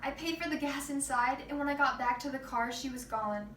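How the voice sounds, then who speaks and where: A woman's voice: high-pitched, a little fast, a crowd in the background, natural voice. A woman playing a grieving but steadfast mother of a missing child in a rehearsed stage monologue. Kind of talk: rehearsed readers theater monologue. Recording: roomy distant mic with background noise.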